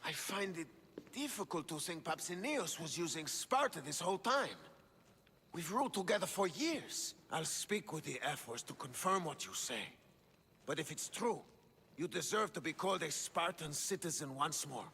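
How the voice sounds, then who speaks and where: A man's voice: on the high side, very animated, normal speed, vocal fry, pushed and bright, exaggerated voice. An actor playing a proud, indignant Spartan king in a video game, with an imperious, declamatory manner. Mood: stern, doubting. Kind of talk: dramatic video game acting. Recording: reverberant hall, noisy ambience.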